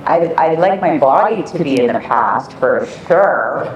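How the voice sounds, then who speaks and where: A guest giving short, relaxed answers in a casual interview. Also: mid-range voice, measured pace, highs cut off, a little hiss or hum. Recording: roomy and distant.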